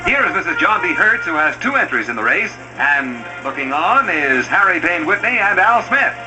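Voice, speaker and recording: High-pitched male newsreel announcer over music. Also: sing-song, moderate pace, poor audio. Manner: dramatic, declamatory announcer delivery. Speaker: newsreel narrator. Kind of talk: scripted vintage newsreel commentary. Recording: old newsreel soundtrack with loud music.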